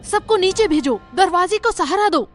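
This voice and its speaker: A woman voicing a brave, resolute young queen in an animated story, with a firm, commanding manner. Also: extremely high pitch, moderate pace, soft background music, voice pushed up. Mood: urgent command.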